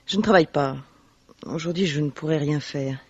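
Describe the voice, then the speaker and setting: An actress playing an effusive, self-pitying, elegant lady translator in a radio mystery, husky, chatty and theatrical. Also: deep voice, normal speed, a little mellow. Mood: world-weary. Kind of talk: French radio mystery drama acting. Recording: dull vintage-style radio recording.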